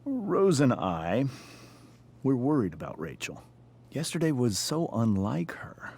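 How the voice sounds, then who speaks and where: An actor playing a pompous middle-aged American district attorney and father, speaking in measured, formal sentences in a video game drama. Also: mid-range voice, sing-song, a little mellow. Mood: probing, guarded.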